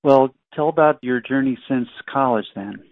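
A man's voice: medium pitch, normal speed, no room sound. Phone-like, dry-sounding male interviewer. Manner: affirming, encouraging. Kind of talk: conversational testimonial interview. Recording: dry, narrow phone-like line.

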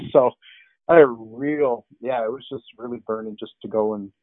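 A man's voice: medium pitch, normal speed, no room sound. A middle-aged man giving a conversational testimony as an interview guest, earnest and rambling with restarts. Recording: narrow phone-line sound.

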